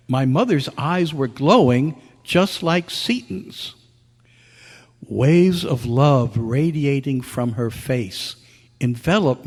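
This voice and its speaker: Actor playing a slow, wistful son narrating in hall. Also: male voice, mid-range voice, a little mellow. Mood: awed, tender. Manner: measured, solemn, deliberate phrasing. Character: bereaved grown son narrating his mother's farewell, wistful, reflective. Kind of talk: scripted dramatic narration in old-time radio style. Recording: long hall reverberation.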